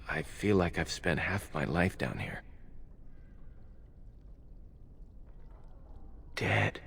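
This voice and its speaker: An actor playing a weary, bewildered prisoner in a video game, halting and gravelly. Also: male voice, fairly low pitch, a little hiss or hum, heavily acted. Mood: confused, pleading. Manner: halting, hesitant. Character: weary, bewildered male prisoner freed from dungeon. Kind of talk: video game cutscene acting. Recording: music clearly behind the voice.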